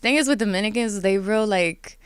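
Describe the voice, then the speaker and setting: Woman in her twenties, guest on a chat show, smooth clear voice, dry close sound, relaxed and filler-heavy. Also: medium pitch, moderate pace, no room sound. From Miami. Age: 20s. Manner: relaxed, laughing, lots of "like" fillers. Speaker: guest artist. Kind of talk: casual interview chat.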